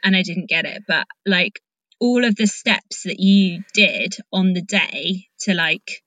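Female podcast host, bright punchy tone on the lower side, dry recording, encouraging and probing. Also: takes it slowly, no echo, low-quality recording. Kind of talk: casual conversational interviewing.

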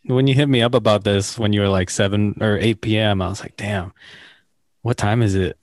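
A man's voice: lowish voice, fairly even intonation, a little fast, dry recording, studio quality. A man who is a stand-up comedian chatting casually as a guest, bantering loosely and swearing. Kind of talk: casual video-call chat.

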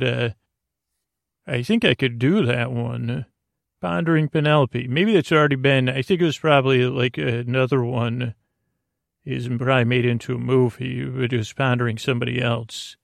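A man with a soothing, gravelly voice hosting a bedtime podcast in a meandering, halting, self-deprecating mumble. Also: mid-range voice, steady tone, dry recording, studio quality. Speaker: podcast host. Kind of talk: rambling bedtime sleep podcast monologue.

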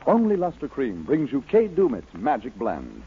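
A man's voice: medium pitch, wildly swooping pitch, a little slow, husky. A man delivering a scripted 1940s radio commercial. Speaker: commercial announcer. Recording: dull old radio, faint music behind.

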